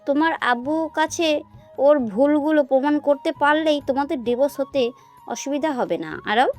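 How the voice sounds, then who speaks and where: A woman's voice: sits high, normal speed, a little mellow, soft background music, close to an everyday voice. A woman playing a doting, scheming middle-aged mother in an audio love story, fussing and coaxing. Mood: coaxing, conspiring.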